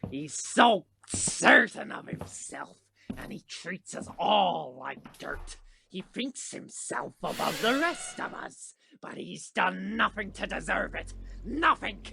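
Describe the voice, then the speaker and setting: Man acting a very gravelly, feisty crewmate. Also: sits high, sing-song, takes it slowly, noisy background, full character voice. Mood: bitter. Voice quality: gravelly, creaky. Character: feisty, indignant, loyal pirate crewmate Will. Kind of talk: theatrical audio play acting.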